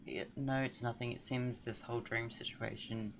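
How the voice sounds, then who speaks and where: A woman's voice: very deep voice, steady tone, normal speed, creaky, background noise. A woman giving casual live commentary while streaming a game, with dry, offhand remarks. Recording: loud game music behind the voice.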